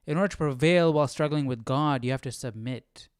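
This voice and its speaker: A man's voice: mid-range voice, a little fast, clean tone, dry recording, studio quality. A man hosting a Bible study, casually commenting and thinking aloud with frequent questions and check-in tags. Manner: questioning, often adds "right?".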